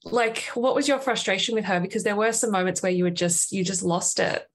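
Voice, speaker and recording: A woman's voice: medium pitch, a little fast, dry recording. A woman hosting a podcast, reading an upbeat intro and then interviewing her guest. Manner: upbeat, gushing. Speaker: podcast host.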